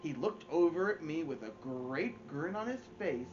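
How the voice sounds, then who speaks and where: A man in his thirties hosting story time, reading a children's book aloud with theatrical emphasis and expressive pauses. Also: on the high side, lo-fi sound, background noise. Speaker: story-time host and reader. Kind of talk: animated children's audiobook-style read-aloud. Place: small furnished room at home, indoors.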